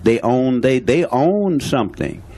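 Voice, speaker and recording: A man's voice: mid-range voice, sing-song, a little slow, dark tone. A man, speaking bluntly and emphatically as a guest on a talk show and repeating his points. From Louisiana, USA. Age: 60s. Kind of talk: impassioned talk show statement.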